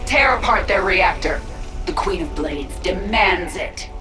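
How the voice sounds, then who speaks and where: A woman's voice: fairly low pitch, animated, a little slow, music in the background. An actress playing a cold, commanding alien swarm queen in a video game, menacing and curt, with an echoing effect on her voice. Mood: imperious.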